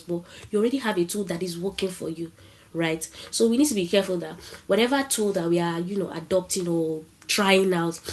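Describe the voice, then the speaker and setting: A woman with a Nigerian accent who has a cold, giving a casual talk at an online tech event over a video call and asking the audience questions. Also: fairly low pitch. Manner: chatty, asks the audience questions.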